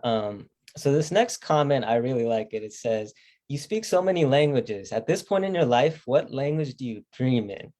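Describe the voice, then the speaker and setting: A man's voice: mid-range voice, lively intonation, moderate pace, a little mellow. A young man hosting a live online Q&A session, reading transitions and questions with frequent um's. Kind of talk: moderating a live Q&A.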